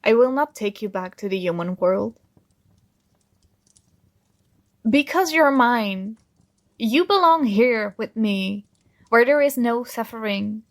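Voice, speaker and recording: A woman playing a possessive but tender dream princess in an ASMR roleplay, coaxing and gently commanding, close to the microphone. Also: fairly high pitch, animated, measured pace, no echo. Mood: possessive, firm. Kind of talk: ASMR roleplay, soothing intimate monologue.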